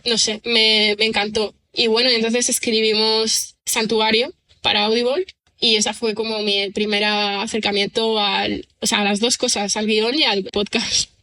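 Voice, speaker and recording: Woman from chatting on a podcast, bright voice, kinda monotone, lots of um and o sea. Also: on the high side, measured pace. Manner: thoughtful, rambling with many fillers and restarts. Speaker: writer and screenwriter guest. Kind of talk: casual podcast interview.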